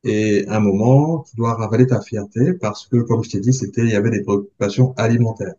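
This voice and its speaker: Homme posé à la voix grave et sombre. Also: male voice, on the low side, steady tone, mellow, dull audio. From Republic of the Congo. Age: 40s. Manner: measured, thoughtful, with frequent hesitations. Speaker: guest. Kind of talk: reflective interview talk.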